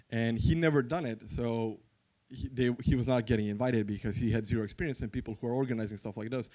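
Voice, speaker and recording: A man with a Croatian accent addresses a conference in an informal, conversational way. He speaks candidly, in the manner of someone sharing personal anecdotes and advice, at a medium pitch. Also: darkish tone.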